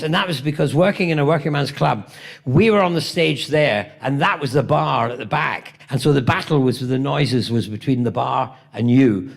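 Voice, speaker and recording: A man's voice: medium pitch, vocal fry. An older man from Britain, a guest at a convention Q&A, telling rambling, playful anecdotes with self-mocking asides, now and then coughing from a tickle in his throat. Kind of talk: convention Q&A storytelling. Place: convention hall stage.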